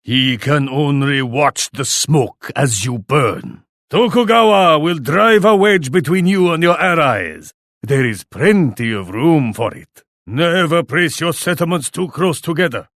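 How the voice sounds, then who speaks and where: An actor playing a pompous, menacing Japanese shogun warlord in a video game, speaking with formal, scornful deliberation. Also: male voice, fairly high pitch, animated, unhurried, a bit bright, no echo, studio quality. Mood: gloating contempt. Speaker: enemy leader taunting the player. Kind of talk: theatrical video game villain voice lines.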